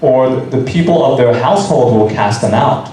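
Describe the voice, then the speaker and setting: A man's voice: medium pitch, animated, moderate pace, warm tone. A man interpreting a sermon into English phrase by phrase, with occasional restarts. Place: church hall. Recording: very echoey hall, distant mic, faint hiss.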